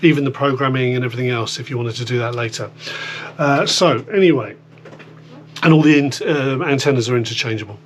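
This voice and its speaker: A man's voice: mid-range voice, a little fast. A British man giving a casual hands-on product demonstration, rambling informally as he explains things step by step. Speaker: host of a radio gear demonstration video. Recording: clear background noise with electrical hum.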